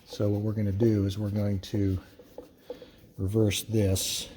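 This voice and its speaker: A man's voice: lowish voice, completely flat delivery, a little slow, warm tone. Man in a reverberant, noisy workshop. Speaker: workshop host and machinist. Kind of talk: informal hands-on explanation of machinery. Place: indoors in a machine workshop. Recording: reverberant workshop with clear background noise.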